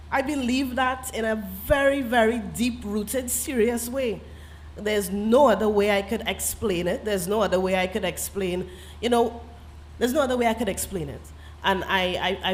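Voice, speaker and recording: A woman novelist with a Trinidadian accent, talking reflectively and earnestly on a festival panel, laughing often and slipping into creole phrasing. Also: a little high. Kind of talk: literary festival panel talk.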